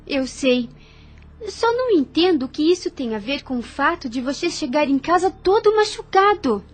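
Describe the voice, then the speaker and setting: A woman's voice: sits high, sing-song, moderate pace. A woman voicing a young sister in an audio drama, questioning and emotional. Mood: worried. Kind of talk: radio drama acting.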